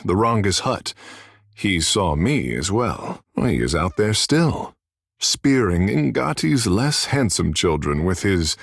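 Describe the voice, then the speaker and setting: Low, gravelly, vain fish-man demigod. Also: no room sound, clean studio sound, heavily acted. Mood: wistful storytelling. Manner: theatrical, boastful, languid storyteller. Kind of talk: fantasy video game character dialogue.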